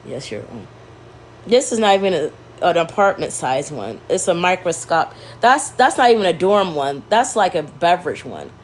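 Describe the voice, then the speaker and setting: Stuffy scratchy-throated lady rambling on her livestream, lowish voice, bit of hiss behind. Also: big pitch swings, moderate pace. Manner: meandering, self-correcting, talking to viewers. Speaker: livestream host.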